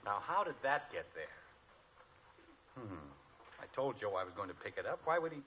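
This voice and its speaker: A man's voice: lowish voice, very animated, moderate pace. A middle-aged American man playing an exasperated, wryly grumbling father in a 1950s radio sitcom. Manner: grumbling, wry sarcasm. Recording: muffled old radio recording, noisy.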